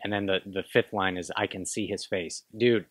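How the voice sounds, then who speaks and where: Man, 30s, film review host, rather low voice, dry close sound, hesitant restarts, casual analysis. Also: steady tone, moderate pace, fairly bright tone, no room sound. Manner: rambling, hesitant, full of restarts and "kinda". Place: small room with bookshelves. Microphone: studio microphone close in front.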